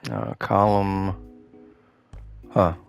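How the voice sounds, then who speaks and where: A man giving casual gameplay commentary, thinking aloud to himself as he plays, his voice slightly hoarse. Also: lowish voice, extremely slow, soft background music. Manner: relaxed thinking aloud, muttering to himself while playing. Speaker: gaming host playing a renovation game.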